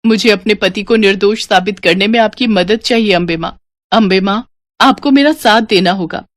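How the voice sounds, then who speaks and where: A woman's voice: medium pitch, moderate pace, lightly acted. Woman acting an earnest, devout, determined wife. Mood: worried, praying. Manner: earnest, reassuring. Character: devout, devoted young mother and wife, determined.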